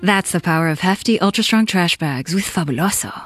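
A woman reads a light-hearted radio ad in a calm, guided-exercise manner. Her voice is breathy and soft. The studio sound is dry, with light music underneath. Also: fairly low pitch, brisk, dry recording. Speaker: ad voice-over. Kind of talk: playful scripted commercial read.

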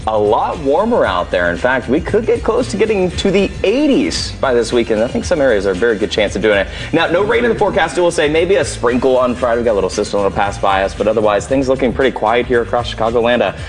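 Male TV weatherman, brisk and lively, easygoing tone. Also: mid-range voice, faint music behind. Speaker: weather forecaster. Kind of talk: TV weather forecast.

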